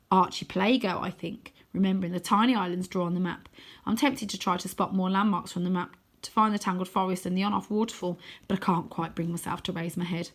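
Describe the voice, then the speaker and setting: A woman reading a children's novel aloud as expressive narration, with dramatic, animated emphasis. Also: mid-range voice.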